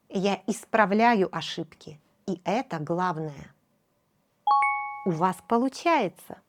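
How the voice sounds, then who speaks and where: A woman teaching a language lesson, speaking slowly and clearly and saying each practice phrase twice with patient encouragement. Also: lowish voice, slight background noise. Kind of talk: slow, clear shadowing lesson.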